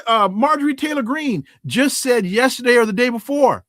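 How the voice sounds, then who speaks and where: A man's voice: very high-pitched, expressive, normal speed, a bit bright, no echo, clean studio sound. A man guesting as a political scientist on talk radio, giving impassioned commentary with frequent restarts.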